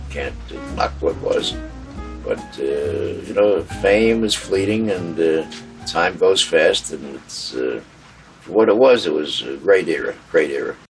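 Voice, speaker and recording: Deep gravelly guy rambling slowly, far from the mic, music underneath. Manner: hesitant, many fillers and restarts. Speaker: interviewee, acquaintance. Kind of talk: halting reminiscence. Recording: voice distant from the mic.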